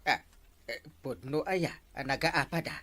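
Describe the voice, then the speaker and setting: A woman's voice: very deep voice, lively intonation, unhurried, sharp tone, heavily acted. A woman playing a blustering, evasive village captain in an Ilocano comedy drama.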